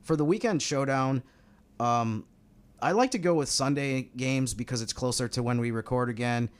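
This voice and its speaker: A man's voice: medium pitch, normal speed. An American man speaking as a sports podcast analyst in a casual, conversational style. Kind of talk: casual basketball talk.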